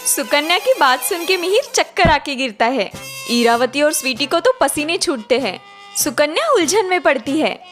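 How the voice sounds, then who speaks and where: A woman's voice: high voice, very animated, moderate pace, fairly bright tone, soft background music. A woman narrating a scripted moral story.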